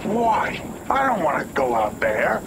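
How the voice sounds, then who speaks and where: Man playing uneasy soldier under loud vehicle noise. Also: fairly high pitch, very animated, unhurried. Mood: reluctant. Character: uneasy, reluctant subordinate male soldier. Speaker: actor in an animated horror short. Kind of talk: dramatic horror film dialogue.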